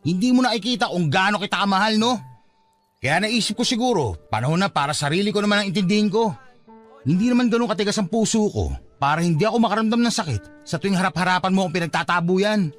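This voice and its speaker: A man's voice: high voice, animated, quick pace, fairly bright tone, soft background music. An actor playing a hurt, fed-up young father in an emotional, reproachful Filipino radio drama style. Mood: agitated, bitter. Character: hurt, fed-up young father giving up on his unrequited love.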